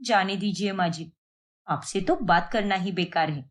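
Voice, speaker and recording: A woman's voice: mid-range voice, measured pace, close to an everyday voice. A woman voicing a suspicious young wife in a cartoon story, complaining and sarcastic. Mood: aggrieved. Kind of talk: Hindi cartoon moral-story voice acting.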